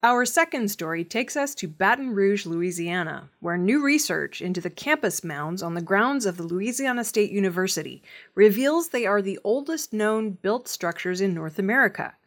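A woman's voice: medium pitch, expressive, normal speed. A woman reading scripted news stories as the presenter of an audio newscast. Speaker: news reader.

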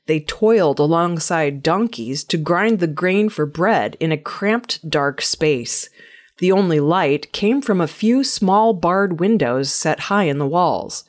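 A woman news presenter reading scripted news stories in a measured, clearly enunciated way. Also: fairly low pitch.

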